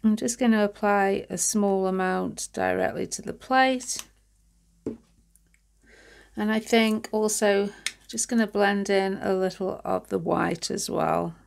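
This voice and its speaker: Woman, stuffy nasal voice, congested, chatty craft host, relaxed with ums. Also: medium pitch, slow pace, gravelly. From United Kingdom. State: nasal and congested from hay fever. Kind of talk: casual craft demonstration. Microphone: overhead camera on hands, voice-over.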